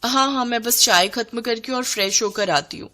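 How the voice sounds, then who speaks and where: A woman's voice: on the high side, normal speed, bright, forceful tone. A woman voicing a spoiled modern young daughter-in-law in a cartoon story, breezy, bossy and demanding.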